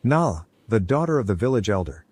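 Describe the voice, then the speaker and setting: A man's voice: on the low side, very animated, fairly quick, a little mellow, dry recording. A man narrating a scripted folk tale as a storyteller.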